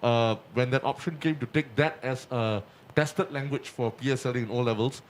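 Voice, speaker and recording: A man's voice: mid-range voice, moderate pace. Emphatic young Singaporean man, faint noise. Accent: Singaporean English. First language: Punjabi. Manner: animated, emphatic, repeats points. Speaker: community panelist. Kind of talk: casual panel chat.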